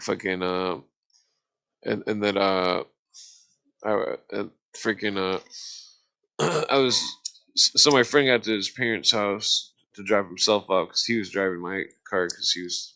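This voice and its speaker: A middle-aged man rambling through casual stories in a halting, repetitive way, with slangy and profane phrasing. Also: low-pitched, gravelly, sharp tone.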